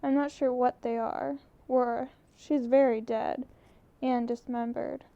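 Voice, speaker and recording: An earnest, restless young woman photographer, as a woman reads her aloud in a storybook. Her voice is gravelly and darkly mellow. The muffled, lo-fi recording dulls it further. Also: fairly high pitch, fairly even intonation, takes it slowly, dry recording. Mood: musing.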